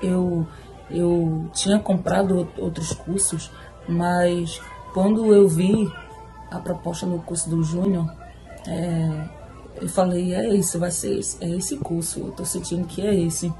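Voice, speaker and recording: A woman's voice: on the low side, fairly even intonation, takes it slowly, dark tone, soft background music, poor audio. A woman with a Pernambuco accent giving a selfie video testimonial, hesitating with fillers and restarts. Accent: Pernambuco Brazilian Portuguese. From Recife, Brazil. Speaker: course student giving a testimonial. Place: indoors, home room. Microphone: phone at arm's length.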